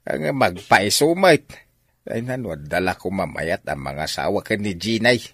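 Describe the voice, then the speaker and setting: Deep, blustering stubborn father. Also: big pitch swings, a little slow, fairly bright tone, dry recording, studio quality, full character voice. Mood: defensive. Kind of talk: radio drama acting.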